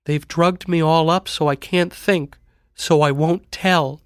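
Man voicing a desperate, high-pitched young woman. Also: steady tone, slow pace, clean tone, no room sound, clean studio sound. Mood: fearful, conspiratorial. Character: drugged, frightened young sister, pleading conspiracy believer. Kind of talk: audiobook character acting.

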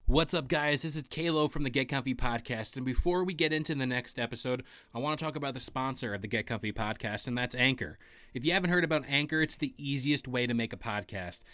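A man's voice: medium pitch, quick pace, a bit bright, no echo. Casual, slangy man hosting a gaming podcast. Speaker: podcast host.

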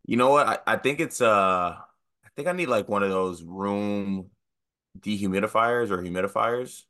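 A man's voice: on the low side, normal speed, pushed and bright. A man co-hosting a casual sports podcast, stuffed up with the sniffles, sounding nasal. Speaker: podcast co-host.

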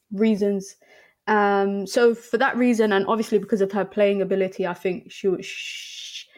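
A young woman talks through football picks in a casual podcast chat, her pitch staying fairly level and even. She pauses often with ums and restarts her sentences. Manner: chatty, many ums and restarts. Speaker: podcast guest.

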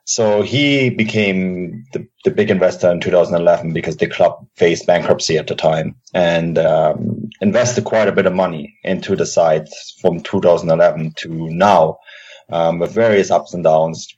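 Deep husky guy on a podcast, kinda muffled lo-fi. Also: darkish tone. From Germany. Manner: fast explanatory talk with fillers. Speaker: podcast guest. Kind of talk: casual podcast chat. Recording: dull lo-fi audio.

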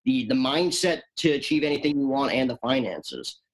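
Relaxed young man hosting an interview. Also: mid-range voice, normal speed. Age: 20s. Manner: relaxed, casual, rambling questions. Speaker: podcast host. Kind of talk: casual interview chat.

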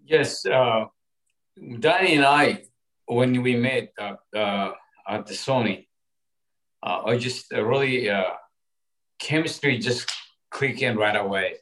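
A man from Ethiopia with an Ethiopian accent, a boxing coach speaking as a guest, halting and searching for words, often laughing. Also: medium pitch, a bit bright, poor audio. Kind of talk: casual interview talk.

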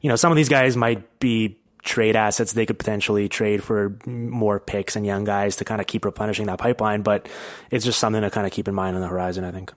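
Male podcast host, lowish voice, casual analytical sports chat, very dry close sound. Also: fairly even intonation, fast talker, dry recording.

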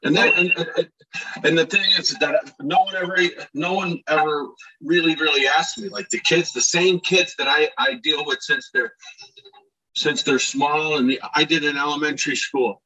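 Casting: male, late 50s, raspy and bright, rambling enthusiastic podcast guest. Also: on the high side, steady tone, normal speed, poor audio. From Scranton, Pennsylvania, United States. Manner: rambling, repeats words, enthusiastic. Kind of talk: casual interview chat.

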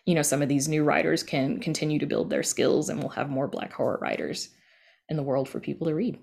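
A woman's voice: deep voice, steady tone, brisk, vocal fry, a little mellow. A woman talking casually as an interview guest, chatty and peppering her explanations with little conversational asides. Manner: chatty, frequent "you know" asides. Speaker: interview guest, podcast creator and story editor.

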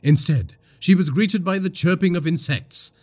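A man from India narrating a scripted horror story in a husky voice, with dramatic, suspenseful delivery. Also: high-pitched, normal speed, a little mellow.

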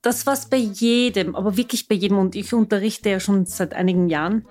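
A young woman hosts a casual podcast. She teases her guest in a playfully strict teacher's tone, her pitch sitting in a middle range, with faint music underneath. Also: a little fast, a little mellow. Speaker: podcast host, German teacher.

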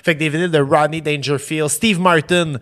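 A man whose first language is English, chatting casually in a podcast and telling animated stories. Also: brisk. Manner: animated storytelling with laughs and lively pitch jumps. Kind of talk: casual chat.

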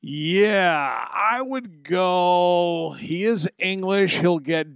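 A man's voice: high-pitched, very slow, vocal fry, smooth, dry recording, clean studio sound. A man hosting a comedy podcast in casual banter, handing down mock-serious pronouncements. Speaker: podcast host.

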